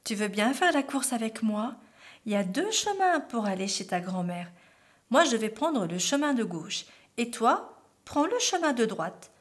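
A woman's voice: on the high side, sing-song, a little slow. A woman voicing a sly, hungry male wolf in a children's tale, with a wheedling, falsely friendly manner.

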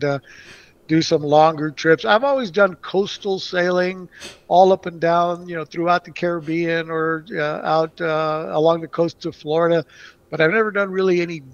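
A man's voice: a little high, dry recording, highs cut off. A man who grew up in Puerto Rico, answering interview questions in a rambling way with many restarts and fillers. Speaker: guest.

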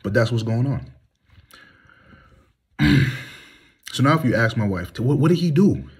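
A man's voice: medium pitch, expressive, unhurried, breathy edge. A man in his thirties speaking bluntly and heatedly in a candid monologue, reclining in a small room and filming himself on a phone held at arm's length. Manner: heated, blunt, rhetorical questions. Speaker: vlogger.

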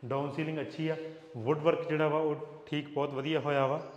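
Middle-aged punjabi guy showing a house, lapel mic, flat kinda even delivery, empty rooms ringing with echo. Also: on the high side, brisk, lo-fi sound. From Punjab, India. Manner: steady, explanatory, mixes English words into his speech. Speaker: real estate agent giving a house tour. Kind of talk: walking property tour commentary. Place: empty new house, bare echoing rooms.

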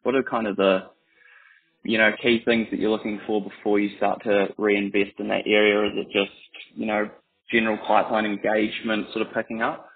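A man's voice: lowish voice, level tone, fairly quick, slightly rough. A man asking questions as an analyst on a conference call, in a casual, hedging way. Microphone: telephone conference call. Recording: muffled phone-like call line.

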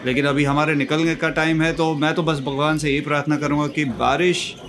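A man's voice: on the high side, brisk. Young male travel vlogger, casual and chatty with lots of fillers, handheld camera sound, music bed under the voice. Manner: relaxed, chatty, frequent fillers, mixes English phrases. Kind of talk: casual travel vlog narration. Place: outdoors at hill viewpoints and inside restaurants.

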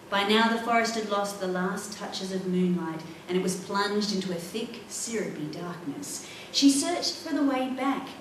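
A middle-aged woman reading a children's adventure novel aloud in an expressive, dramatic way. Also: a little high. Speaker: author reading own book. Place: indoor library room, seated at a table. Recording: echoey room, distant mic, faint noise.

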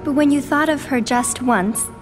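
A gentle, wistful teenage girl in a video game, played by a woman. Her soft voice sits high and reassures tenderly, with music playing behind. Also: moderate pace, close to an everyday voice. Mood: tender, reassuring. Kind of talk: video game cutscene acting.

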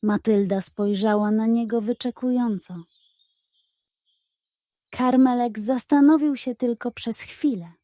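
A woman narrating a children's bedtime story from a script, expressive and carefully enunciated. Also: on the high side, a little slow, long pauses, no room sound.

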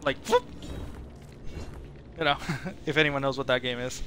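A man's voice: mid-range voice, steady tone, fairly quick. A man chatting casually as a game streamer signing off to his viewers, rambling and correcting himself as he goes. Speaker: gaming streamer and video creator. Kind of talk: casual chat, video outro. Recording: noisy livestream capture.

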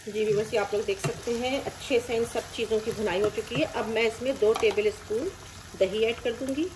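A woman's voice: fairly high pitch, steady tone, brisk, noisy background. Woman, cooking voice-over. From Pakistan. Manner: friendly, explains each step plainly. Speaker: cooking channel host. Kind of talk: step-by-step recipe voice-over. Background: frying and sizzling.

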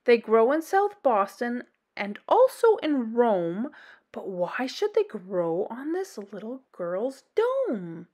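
A woman voicing a learned, pompous older male school principal from a storybook, declaiming in a measured way. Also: fairly high pitch, very animated, darkish tone, exaggerated voice. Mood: studious. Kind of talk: storybook character voice.

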